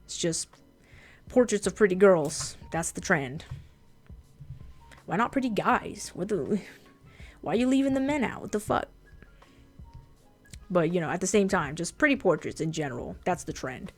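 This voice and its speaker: Laid-back deep-voiced woman chatting. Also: very animated, normal speed, long pauses, faint music behind. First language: Japanese. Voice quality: fairly deep voice. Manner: laid-back, blunt, swears freely. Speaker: streamer and artist. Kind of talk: casual livestream chat while drawing.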